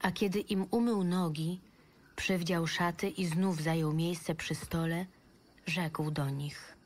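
Very slow, solemn woman narrator. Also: fairly low pitch, steady tone. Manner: solemn, deliberate. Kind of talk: scripted scripture narration. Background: music.

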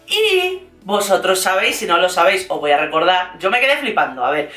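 A woman with a Castilian Spanish accent chatting casually and playfully as an online host, in an animated, gossipy, slangy way. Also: lowish voice, fairly quick, roomy sound. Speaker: YouTube host recapping reality TV. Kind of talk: casual, chatty vlog commentary.

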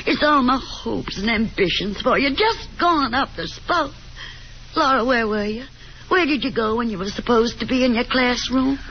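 An actress plays a reproachful, anxious mother of middle age in a 1950s radio drama. She scolds theatrically in a fairly high voice. The old broadcast is dull, with faint noise. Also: normal speed, lightly acted. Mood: reproachful, distressed. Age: middle-aged.

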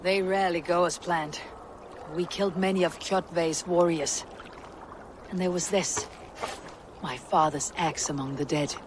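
An actor playing a bold, brooding young Viking warrior in a video game, terse and formal. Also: male voice, fairly high pitch, fairly even intonation, measured pace, pauses often, background noise, close to an everyday voice. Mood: steady, dry. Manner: terse, formal, archaic phrasing. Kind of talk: dramatic game cutscene acting.

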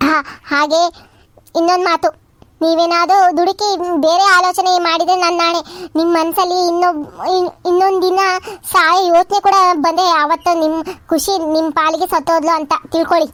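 A woman's voice: extremely high pitch, flat delivery, fairly quick, a bit bright, no echo. A woman voicing a tearful young woman in love who pleads with emotion in a cartoon. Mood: reproachful. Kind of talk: cartoon voice acting.

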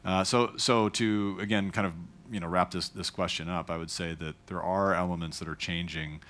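Panelist, male, very deep voice, loose and filler-heavy. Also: steady tone, normal speed, a bit bright. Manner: fillers, "you know", trailing off. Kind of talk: conference panel remarks. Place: conference hall panel stage.

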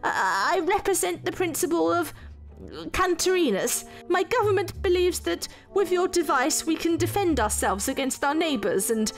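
A woman's voice: very high-pitched, big pitch swings, normal speed, faint music behind, pitched above the performer's own voice, exaggerated voice. A woman voicing a terrified male envoy with a foreign accent, pleading and grandiose, in an audio story.

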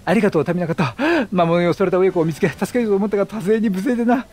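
A man's voice: high voice, fast, a little mellow. Man voicing an earnest, formal young knight.

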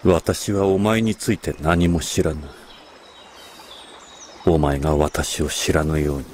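Deep, grave anime hero. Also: male voice, takes it slowly, darkish tone, a little hiss or hum. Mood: cool, brooding. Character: grave, noble adult male anime hero. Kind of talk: dramatic anime acting.

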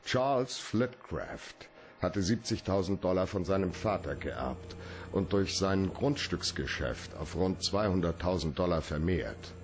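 An actor in a German radio play as a cool, cynical, shrewd private detective with a laconic, deadpan way of talking. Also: male voice, sits low, measured pace. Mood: matter-of-fact.

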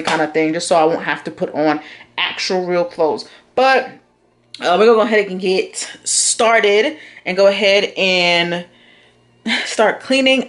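A young woman chatting casually to her phone camera in a small studio apartment, rambling and laughing as she talks about cleaning. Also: medium pitch. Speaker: vlogger. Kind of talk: casual cleaning vlog chat. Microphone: phone camera close up.

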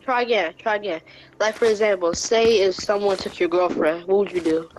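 A woman's voice: medium pitch, steady tone, brisk, a little mellow, slight background noise, lightly acted, rough recording. A woman playing a bossy, impatient fighter father giving casual, repeated commands in an amateur game roleplay. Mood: insistent, teasing. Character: bossy, impatient anime fighter father teaching his son.

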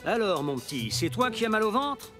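An actor voicing a kindly male doctor in an animated cartoon, talking to a child in a gently patronising way. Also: sits high, fairly quick. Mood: soothing, amused. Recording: music behind the voice.

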